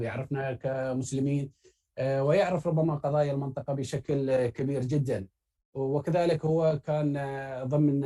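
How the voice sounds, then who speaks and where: A man's voice: medium pitch, warm tone. A man introducing a guest at an event, talking fluently with frequent hesitation fillers. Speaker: event host.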